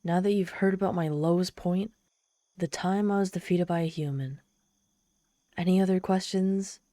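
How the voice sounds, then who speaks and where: Ancient demon woman, played by a woman for ASMR audio drama: lowish, velvety dark voice, proud and theatrical with a vulnerable streak. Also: steady tone, moderate pace, no room sound, natural voice. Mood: sardonic, irritated. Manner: haughty, theatrical, softening into shy vulnerability. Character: ancient proud tsundere demon woman, lonely, haughty, teasing. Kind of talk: ASMR audio roleplay, addressing the listener.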